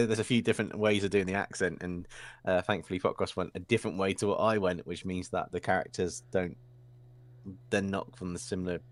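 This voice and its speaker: A man streaming his art, chatting casually, laughing often and trailing off mid-sentence. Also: sits low. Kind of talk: casual chat while drawing.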